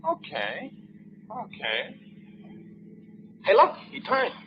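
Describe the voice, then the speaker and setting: A man's voice: high-pitched, huge pitch swings, slow pace, exaggerated voice. An actor playing a surly, wisecracking taxi driver in a 1940s radio drama, with slangy tough-guy talk. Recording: muffled 1940s radio broadcast with faint hiss.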